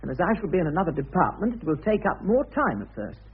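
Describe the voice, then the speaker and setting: Thin old radio sound, meek indignant clerk, high wildly swinging pitch, stammering protests. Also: male voice, big pitch swings, fast talker, husky, phone-quality sound, heavily acted. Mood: flustered protest. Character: flustered, indignant, meek male bank clerk. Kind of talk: radio drama acting. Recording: band-limited old radio broadcast.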